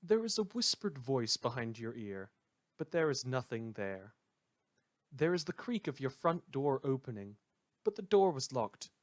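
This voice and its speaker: A man playing a wry community radio host in a fan audio drama, delivering scripted narration in a measured, theatrical deadpan. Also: mid-range voice, close to an everyday voice. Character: wry male community radio host telling eerie tales.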